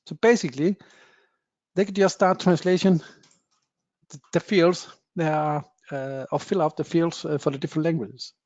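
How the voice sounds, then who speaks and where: Man giving a software demo. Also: a little high, fairly even intonation, normal speed, no echo. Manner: plain explanatory talk with frequent uh fillers, non-native phrasing. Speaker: software presenter. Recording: dull dry webinar audio.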